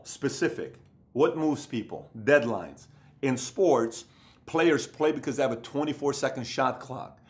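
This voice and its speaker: Thirties man, motivational business coach, emphatic and repetitive, indoor sit-down to camera. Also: medium pitch. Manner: emphatic, repetitive, acts out other people's voices. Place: indoor room with bookshelves.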